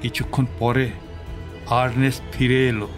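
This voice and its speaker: An actor playing a heartbroken father reading out a sorrowful letter in a solemn, mournful audio drama style, with pauses. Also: medium pitch, level tone, over music, natural voice. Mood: grave, anxious. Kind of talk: Bengali audio drama acting.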